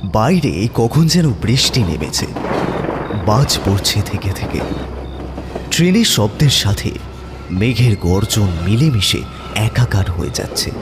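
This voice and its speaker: A man narrating a horror story in a suspenseful, theatrical manner with dramatic pauses. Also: lowish voice, very animated, noisy background.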